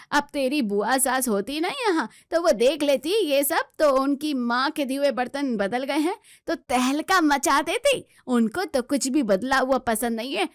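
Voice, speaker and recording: A woman's voice: high voice, no echo, clean studio sound. A woman voicing a bossy, stubborn, middle-aged mother-in-law in an Indian family story, scolding and complaining. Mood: nagging.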